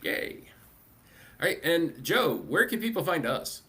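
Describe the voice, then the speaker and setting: A man hosts a casual panel in a conversational style. His voice sits a little higher than most men's. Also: big pitch swings, unhurried, pushed and bright. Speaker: host.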